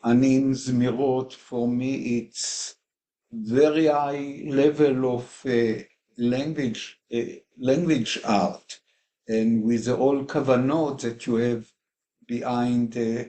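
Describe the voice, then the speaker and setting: Slow, mellow man, Hebrew-native speaker. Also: mid-range voice, steady tone. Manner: hesitant, many pauses and fillers, non-native phrasing. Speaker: class participant. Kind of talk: slow personal reflection in class discussion.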